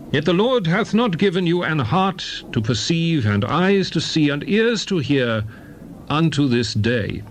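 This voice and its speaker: A man playing a stern prophet in a dramatized scripture reading, speaking in solemn, emphatic, measured oratory. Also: medium pitch, slight background noise, close to an everyday voice. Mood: solemn exhortation. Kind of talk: dramatized King James Bible reading, declamatory oratory.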